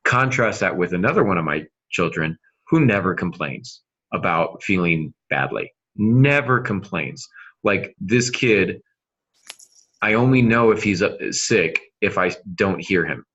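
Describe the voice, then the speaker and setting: A middle-aged man with a very low voice and a forceful edge talks casually as a podcast co-host. He is earnest and emphatic, pausing for effect, on a slightly dull recording. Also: expressive, slightly rough. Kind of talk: casual panel discussion on writing craft.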